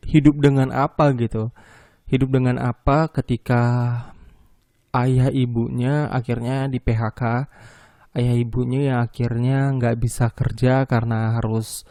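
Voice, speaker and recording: A man's voice: medium pitch, mellow, no echo, clean studio sound. A young man from Medan hosting a solo podcast, talking casually and hesitantly, often restarting and apologizing. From Medan, North Sumatra. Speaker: podcast host.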